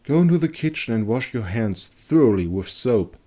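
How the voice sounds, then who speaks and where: A man's voice: medium pitch, lively intonation, moderate pace, mellow, pitched above the performer's own voice, lightly acted. A man voicing a shaken but capable village woman who tends the wounded in a fantasy story, grave and firm. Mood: grim, firm.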